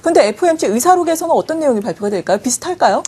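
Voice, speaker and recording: A woman anchoring a financial news segment in a TV studio, brisk and crisp as she reads and asks questions. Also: sits high, expressive, a little mellow. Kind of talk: scripted news presenting.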